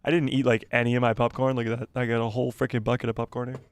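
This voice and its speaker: A man hosting a live stream, chatting casually and reading out viewer comments. His voice is going hoarse and he rambles and repeats himself as he thinks aloud. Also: mid-range voice, little pitch movement, rapid-fire, no room sound. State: losing his voice, coughing. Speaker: livestream host.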